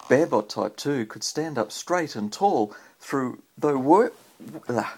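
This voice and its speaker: A man's voice: medium pitch, expressive, slow. A man reading a children's story aloud to a child as a father, chatting in between with encouraging questions, recorded on a handheld phone. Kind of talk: read-aloud storytelling with casual chat to a child. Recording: faint background noise, home phone recording.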